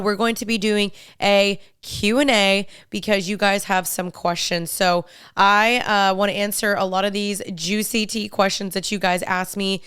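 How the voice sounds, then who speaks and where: A young woman chats through her podcast in a friendly, upbeat way, speaking right to her listeners. A lingering cold leaves her slightly nasal and congested. Her voice stays very smooth and clear, recorded close and dry with no room sound. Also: mid-range voice, a bit bright, dry recording. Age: young adult. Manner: chatty and upbeat, addresses listeners as "you guys". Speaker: podcast host. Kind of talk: casual solo podcast chat.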